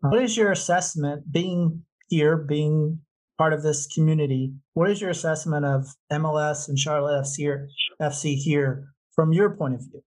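A man's voice: fairly high pitch, moderate pace, a little mellow, low-quality recording. A man hosting a podcast, interviewing a guest in a casual way.

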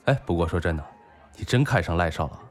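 An actor playing a brash, bantering, foul-mouthed bar owner and loyal buddy in a Chinese audio drama. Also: male voice, low voice, animated, fast, a faint crowd.

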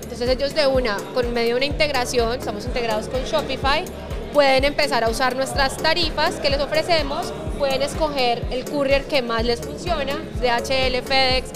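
A woman's voice: high-pitched, steady tone, normal speed, over music, crowd noise. A woman in her thirties with a Colombian accent, a startup founder talking casually in an interview and sprinkling in English business terms. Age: 30s.

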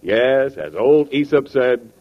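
A man's voice: medium pitch, lively intonation, slow. An American man announcing in polished, emphatic 1940s radio style, reading a scripted advertisement. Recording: dull old 1940s radio recording.

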